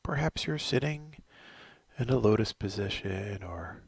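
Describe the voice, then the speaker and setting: A middle-aged man guides a body scan meditation in a deep, raspy, husky voice. He speaks very slowly and soothingly, often trailing off into a whisper. The sound is close and dry. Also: expressive, no room sound.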